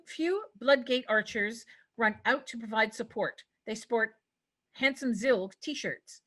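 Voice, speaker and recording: A woman's voice: mid-range voice, fairly bright tone. A woman narrating stage directions at a scripted table read, occasionally stumbling over words.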